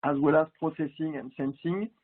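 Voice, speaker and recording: A man's voice: on the high side, steady tone, brisk, slightly rough. A man with a French accent giving a technical webinar presentation, hesitant with many fillers and restarts. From France. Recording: tinny phone-like line.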